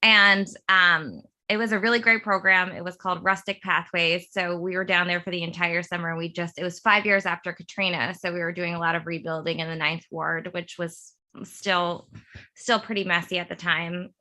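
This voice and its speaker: Woman, 30s, from suburban Philadelphia, TV writer, interview guest, rambling self-amused storytelling, crisp bright tone. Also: fairly low pitch, fairly even intonation, moderate pace. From suburb of Philadelphia, USA. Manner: meandering storyteller who laughs at herself. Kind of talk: casual interview chat.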